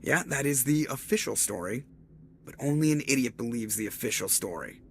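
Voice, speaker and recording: An actor playing a sarcastic young starship pilot in a video game, trading wisecracks with the crew. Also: male voice, medium pitch, expressive, brisk, creaky, slight background noise. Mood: snarky. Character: cocky sardonic male ship pilot, young adult.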